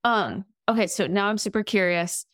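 A woman with a raspy voice that sits in her throat, chatting casually as an interviewer on a podcast. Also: medium pitch, lively intonation, normal speed, a bit bright, no room sound, clean studio sound. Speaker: podcast host.

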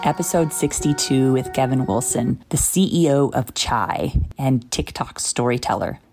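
Very low-voiced, gravelly woman host. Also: normal speed, over music. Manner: attentive listener, frequent soft backchannels. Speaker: podcast host. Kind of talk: conversational interview, scripted episode intro.

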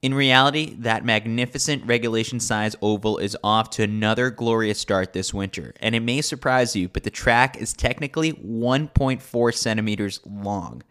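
A man's voice: fairly low pitch, moderate pace, few pauses, a bit bright, clear, resonant tone. A man reading a scripted sports newsletter as a podcast host, with a wry, tongue-in-cheek delivery. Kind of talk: scripted narration, sports newsletter read aloud.

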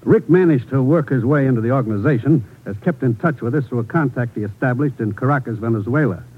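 An American actor playing a grave, authoritative government commissioner in a 1950s radio drama, brisk and official. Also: male voice, mid-range voice, slightly rough, lightly acted. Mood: grave, urgent. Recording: muffled old radio broadcast.

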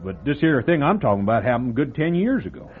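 A man doing a folksy small-town diner keeper in a vintage radio play, gossiping with relish and a lively, swooping voice. The sound is thin and phone-like, with faint music under it. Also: medium pitch, fast talker, lightly acted. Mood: gossipy. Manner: folksy, relishing a gruesome story. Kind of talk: old-time radio drama acting.

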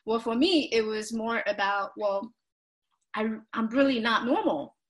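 A woman's voice: a little high, measured pace. A woman from Taiwan, telling her personal story earnestly as an interview guest. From Taiwan, moved to the United States at fifteen. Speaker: podcast guest, author.

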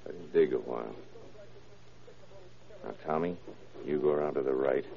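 A very low-voiced police detective takes charge, calm and shrewd. He is gruff and wry in the old 1930s radio drama manner, on a muffled vintage broadcast recording. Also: normal speed. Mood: low, commanding.

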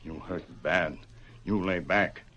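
A man's voice: slow, bright, forceful tone, lo-fi sound, slight background noise, full character voice. A man playing a stoic, loyal western scout in an old radio drama, speaking tersely in broken English. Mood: gently insistent. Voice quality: deep, low voice.

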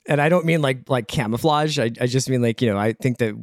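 A man in his forties from the Philadelphia area with a mid-pitched voice. He talks loosely and reflectively, filling the gaps with likes. The sound is dry and close. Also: fairly quick, no echo. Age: 40s. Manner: relaxed, rambling with many likes. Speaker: podcast co-host. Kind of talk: casual podcast chat.

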